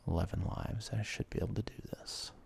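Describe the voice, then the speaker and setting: A man giving ASMR let's play commentary in a breathy, gravelly whisper, close to the microphone, with relaxed, self-deprecating asides. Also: low voice, fairly quick, slight background noise. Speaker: host of a gaming ASMR series.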